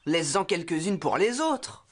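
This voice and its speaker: A man's voice: high voice, very animated, unhurried, exaggerated voice. A man voicing a friendly, eager, slightly goofy junior firefighter in a children's cartoon, chatty and good-natured. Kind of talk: animated children's cartoon dubbing. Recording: music behind the voice.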